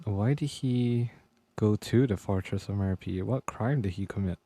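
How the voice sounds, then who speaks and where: A streamer giving casual, low-key muttered reactions during a game playthrough. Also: normal speed, warm tone. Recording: game music behind voice.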